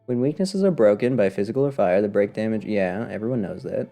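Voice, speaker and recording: A man's voice: on the low side, a little fast, gravelly, mellow. A man giving casual live commentary on a video game, talking to himself and his viewers in a wry, sarcastic way. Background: game music.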